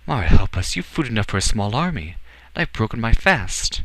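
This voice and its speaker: A man voicing a sardonic, haughty tall male elf with a quick temper and a theatrical, teasing manner in a storybook reading. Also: lowish voice, very animated, a bit bright, dry recording, voice pushed up, full character voice, rough recording. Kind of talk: audiobook character acting.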